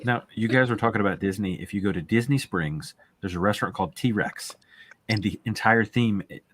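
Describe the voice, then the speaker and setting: A man chatting casually and explaining things in a halting, informal way. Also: fairly low pitch, slight background noise.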